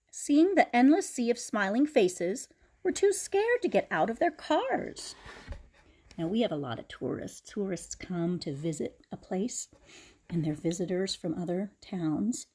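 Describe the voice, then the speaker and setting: A woman reads a children's picture book aloud with dramatic expression. Her voice is husky and swings widely in pitch, and she slips into explanatory asides for young listeners. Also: darkish tone. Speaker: teacher reading aloud to children.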